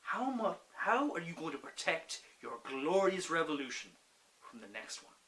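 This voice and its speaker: An actor playing an insistent, sarcastic peacemaker in a dramatic monologue, full of rhetorical questions. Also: male voice, fairly high pitch, very animated, normal speed, fairly bright tone, low-quality recording. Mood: mocking, impassioned. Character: impassioned, pleading peacemaker challenging a rebel with sarcasm.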